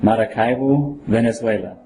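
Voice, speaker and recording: A man playing a citizenship applicant in a scripted mock interview, answering slowly and carefully. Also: mid-range voice, mellow, a little hiss or hum. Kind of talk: scripted role-play. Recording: echoey room.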